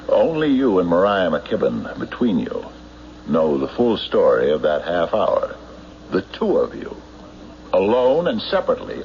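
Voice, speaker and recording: Deep-voiced, relentless small-town sheriff. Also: male voice, highs cut off, exaggerated voice. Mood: probing, suspicious. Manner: deliberate, probing, accusatory, with pointed pauses. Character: shrewd, relentless small-town sheriff interrogating murder suspects. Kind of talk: old-time radio drama acting. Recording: noisy band-limited old radio broadcast recording.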